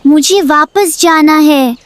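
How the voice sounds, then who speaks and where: A woman's voice: sits high, slow pace, dry recording. A woman voicing a timid, wide-eyed child in a children's cartoon adventure story. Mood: frightened.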